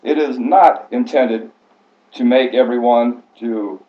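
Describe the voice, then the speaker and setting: A man's voice: mid-range voice, takes it slowly. A man reading a prepared biographical speech aloud in a formal, scripted way, stumbling now and then. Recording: echoey room, slightly muffled sound.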